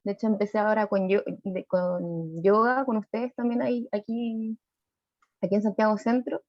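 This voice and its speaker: A woman introduces herself hesitantly, restarting words as she goes. The call audio is dull and muffled, with no room sound at all. Her pitch sits in the middle. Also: steady tone, moderate pace, no echo. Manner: hesitant, soft-spoken, with restarts. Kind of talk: casual group introduction on a video call.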